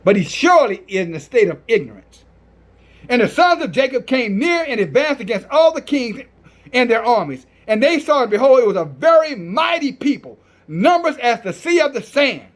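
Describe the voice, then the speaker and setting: Male preacher, strong and declamatory, pitched extremely high with lively swings, forceful and defiant in scripture commentary. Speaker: Hebrew Israelite preacher. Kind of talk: fiery sermon with scripture reading and commentary.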